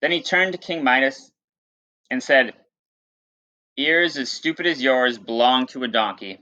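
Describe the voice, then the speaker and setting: A man with a bright, forceful voice reads a story aloud in the manner of a teacher. His delivery is informal and instructive, at a middling pitch. The recording is slightly dull and lo-fi. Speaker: teacher reading aloud to pupils. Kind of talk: read-aloud storytelling with plain explanatory asides. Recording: dull, lo-fi sound.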